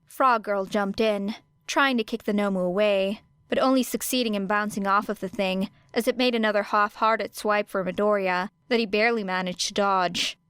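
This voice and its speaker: Clear-voiced woman narrating a story. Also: medium pitch, steady tone, normal speed, few pauses, a bit bright, dry recording, clean studio sound. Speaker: podfic reader. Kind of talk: audiobook narration of fan fiction.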